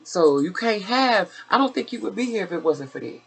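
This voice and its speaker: A woman's voice: medium pitch, lively intonation, fairly quick, vocal fry, breathy edge, low-quality recording. A woman, chatting casually as an interviewer in a blunt, familiar and encouraging way. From Chicago, United States. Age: 50s. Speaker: interviewer and host.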